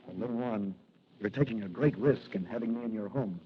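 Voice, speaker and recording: A man's voice: on the low side, brisk, close to an everyday voice. A man playing an earnest, resolute young American policeman in a 1940s radio drama. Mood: concerned. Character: earnest, upright young rookie police officer hero. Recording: tinny, noisy old radio recording.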